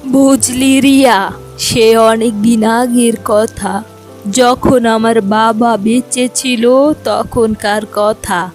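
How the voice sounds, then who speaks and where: A woman's voice: on the high side, a little mellow. A woman voicing a fond, chatty old village grandmother in a children's cartoon, homely and given to reminiscing and mild grumbling. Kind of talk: children's fairy tale cartoon dialogue.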